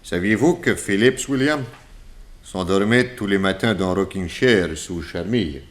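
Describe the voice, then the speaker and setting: An actor playing a suspicious, self-assured detective inspector in a 1960s French radio drama, putting clipped questions. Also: male voice, deep voice, measured pace. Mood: probing.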